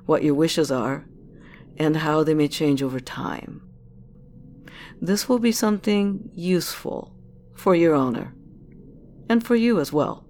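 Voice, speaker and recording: A woman's voice: sits low, a little mellow. A woman playing a commanding, curious corporate director in an audio drama, with a teasing, probing and self-assured manner. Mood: intrigued. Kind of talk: scripted audio drama acting.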